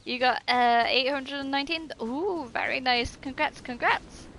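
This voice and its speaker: A woman's voice: sits high, measured pace, a bit bright, slight background noise. A woman chatting casually and informally as she streams her gameplay, swearing freely. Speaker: game streamer. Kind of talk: casual live gameplay chat.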